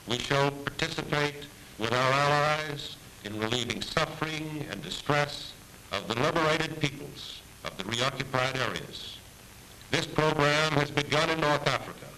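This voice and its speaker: Male official, forceful and sharp, formal wartime-era speech, slightly hissy archival film sound. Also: medium pitch, lively intonation, normal speed, rough recording. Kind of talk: formal scripted 1940s address.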